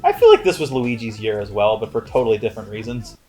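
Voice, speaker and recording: A man's voice: on the low side, expressive, quick pace, darkish tone, low-quality recording. A man co-hosting a casual movie review, emphatic and opinionated as he banters. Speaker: movie review show co-host.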